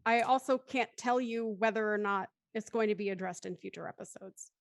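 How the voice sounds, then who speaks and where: A woman moderating a panel discussion in a measured, conversational way. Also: mid-range voice, creaky, dry recording, studio quality. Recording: background noise and room ambience.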